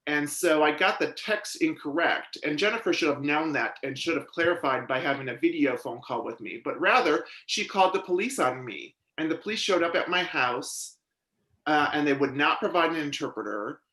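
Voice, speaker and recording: A man interprets a witness's testimony aloud in the first person, keeping a measured, even delivery. His voice sits a little high for a man. The hearing room adds a noticeable echo. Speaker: sign language interpreter voicing a deaf witness.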